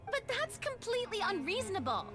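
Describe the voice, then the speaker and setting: A woman's voice: very high voice, very animated, fairly quick. A woman voicing a thoughtful, earnest young female video game character with a polite, pondering manner. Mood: worried. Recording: music behind.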